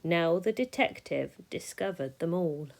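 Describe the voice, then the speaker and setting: A woman reading a rhyming children's story aloud in an expressive, measured way, sometimes dropping soft. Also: lowish voice, vocal fry. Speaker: storybook reader.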